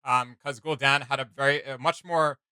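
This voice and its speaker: Guy doing reaction vid commentary, bright voice, dry sound, chatty n rambly. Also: medium pitch, steady tone, moderate pace, smooth, no room sound. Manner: conversational, rambling. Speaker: reaction video host.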